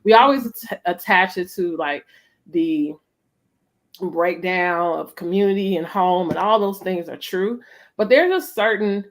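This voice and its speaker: A woman's voice: fairly low pitch, a little slow. A middle-aged American woman hosting a casual, reflective conversation, speaking emphatically and often checking in with her listener. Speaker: host of a family storytime conversation.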